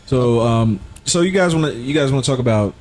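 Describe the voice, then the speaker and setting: A man's voice: mid-range voice, lively intonation, a little fast, a little mellow. A young man hosting a podcast, playfully steering the talk to new topics. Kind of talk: casual chat.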